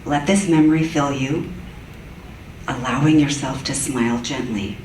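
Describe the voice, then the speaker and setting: A woman leading a guided meditation in a soft, gentle, soothing way. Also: rumbling low, measured pace, slight background noise. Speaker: meditation leader. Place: church sanctuary. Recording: echoey church room from far off.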